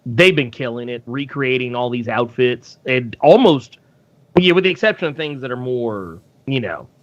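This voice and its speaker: A man's voice: mid-range voice, expressive, normal speed, no echo. Man, podcast guest, casual discussion, hesitant with restarts, dry slightly lo-fi call audio. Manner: hesitant, thinking aloud with frequent restarts. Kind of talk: casual live comic book discussion.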